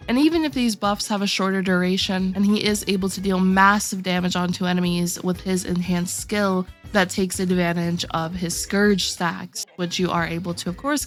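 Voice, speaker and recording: A woman with a sore throat hosting a gaming video, calmly walking viewers through a character tier list. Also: fairly low pitch. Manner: steady explanatory walkthrough, addressing viewers directly. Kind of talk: game tier list commentary.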